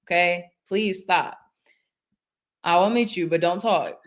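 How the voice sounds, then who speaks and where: A woman's voice: on the low side, slow. A young woman hosting a casual livestream panel, talkative and steering the conversation. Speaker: stream host.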